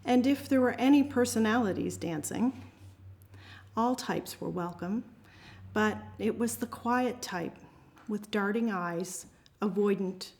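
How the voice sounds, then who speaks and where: A woman's voice: medium pitch, a little mellow. Woman, amateur writer, open-mic reading of own work, mid-range voice. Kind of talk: reading own short story aloud to a group.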